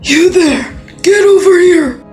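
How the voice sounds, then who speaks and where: A woman playing a bullying, threatening soldier in a fan dub drama, with a gravelly voice. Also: extremely high pitch, slow, a little mellow. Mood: barking orders. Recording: music behind the voice.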